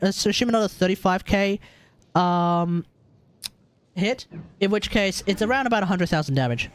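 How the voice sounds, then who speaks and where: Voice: medium pitch, smooth, no room sound. A streamer with an Australian accent giving casual, rambling, jokey live commentary on a game. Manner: rambling, jokey, easily amused.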